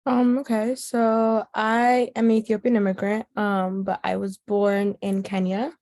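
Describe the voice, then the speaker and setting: A woman's voice: mid-range voice, little pitch movement, measured pace, a little mellow. A young woman from Chicago with an American accent, speaking casually and thoughtfully as an interviewee in an oral history. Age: teens to young adult. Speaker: youth organizer being interviewed.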